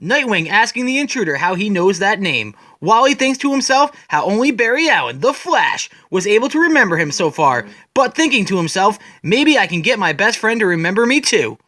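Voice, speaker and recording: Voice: mid-range voice, sharp tone, no room sound, clean studio sound. A person giving a dramatic, scripted narration as a storyteller, retelling comic book scenes in the present tense.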